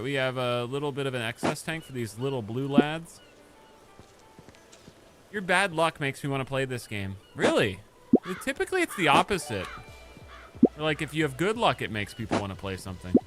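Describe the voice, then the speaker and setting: A male streamer chatting casually with his live chat while playing a game, swinging from mumbled asides to loud outbursts. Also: medium pitch, very animated, moderate pace, music in the background. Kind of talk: casual gaming livestream chat.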